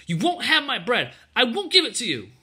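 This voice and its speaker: Man doing a high-pitched, stubborn little girl. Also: big pitch swings, rapid-fire. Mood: stubborn, defiant. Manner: pleading, defiant, emphatic. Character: plucky, stubborn young girl disguised as a boy. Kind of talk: children's storybook character voice.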